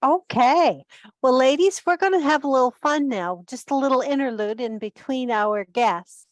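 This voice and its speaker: A woman's voice: sits high, animated, normal speed, dry recording. A woman casually hosting an online group meeting and introducing guests.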